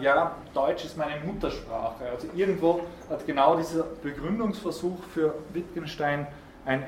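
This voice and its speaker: A man giving a university philosophy lecture, thinking aloud with frequent hesitations and repeated words, recorded by a student in a lecture hall. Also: on the high side. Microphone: student's recorder in the room. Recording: echoey lecture hall recording with faint noise.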